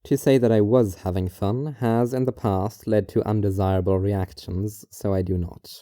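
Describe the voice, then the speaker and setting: A man narrating a scripted first-person story in a measured, detached, formal manner. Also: lowish voice, steady tone, dark tone, no room sound, clean studio sound.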